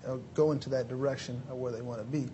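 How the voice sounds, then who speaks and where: Male guest from Mexico, 30s, earnest speaker, middle pitch, faint rumble. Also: fairly even intonation, fast talker, mellow, highs cut off. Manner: earnest, lots of "you know" fillers, polite "yes sir". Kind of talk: interview answers.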